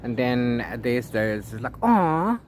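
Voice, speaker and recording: Male book vlogger, medium pitch, informal and halting with frequent restarts, noisy ambient recording. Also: big pitch swings. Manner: halting, repeats and restarts words, playful sign-offs. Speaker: book vlogger, read-along co-host. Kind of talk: casual rambling video diary about a book. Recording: clear background noise, ambient sound.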